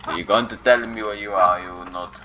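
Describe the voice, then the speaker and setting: A deep-voiced man narrating his own gameplay, grumbling to himself as he searches. He mutters and talks back at things in the game. The recording is noisy, with a steady hiss behind his voice. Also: a little fast, dull audio. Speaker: gameplay commentator. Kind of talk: casual gameplay commentary.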